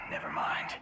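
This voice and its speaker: A man voicing a cocky gunslinger bounty hunter in a video game, swaggering and taunting with folksy threats. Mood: amused, threatening. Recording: music behind the voice.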